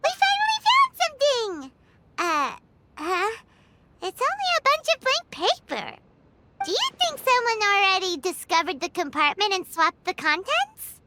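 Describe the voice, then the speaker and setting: A woman voicing a tiny, excitable, curious childlike fairy companion in a video game, with a squeaky voice. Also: unhurried, very noisy, full character voice. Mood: puzzled, eager. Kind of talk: English video game cutscene acting. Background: game music.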